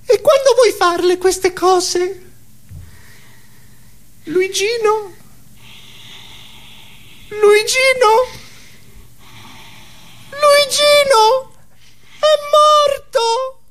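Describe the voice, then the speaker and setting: Guy as a really squeaky high anxious lady, fussy, drawn out words, melodramatic stage comedy. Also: extremely slow, long pauses, a bit bright, exaggerated voice. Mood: wailing. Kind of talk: absurd theatre sketch.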